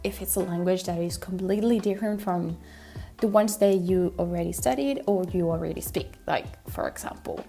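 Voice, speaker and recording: Solo podcast host, woman, gravelly low-key voice, chatty and thinking aloud, soft music underneath. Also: fairly quick. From Italy. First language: Italian. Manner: chatty, thinking aloud with many fillers and restarts. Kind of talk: solo podcast monologue on language learning.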